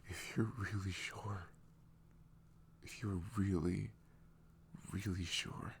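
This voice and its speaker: A man playing an apologetic, vulnerable ex-boyfriend in an intimate ASMR roleplay, with a breathy half-whisper and a hesitant, emotional delivery. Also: low-pitched, steady tone, slow pace, a little hiss or hum, heavily acted. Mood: tearful, longing.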